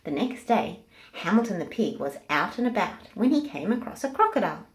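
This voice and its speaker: A woman's voice: medium pitch, big pitch swings, a little fast. A woman narrating a picture book aloud for children in a storytelling style.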